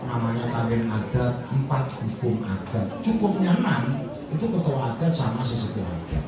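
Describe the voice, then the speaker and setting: An older man with a Javanese accent, a community elder answering students' questions in a rambling, folksy way. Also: mid-range voice. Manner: rambling, folksy, slips into Javanese, counts points off. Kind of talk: informal explanatory talk to students. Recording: echoey hall, far from mic, dull sound, crowd.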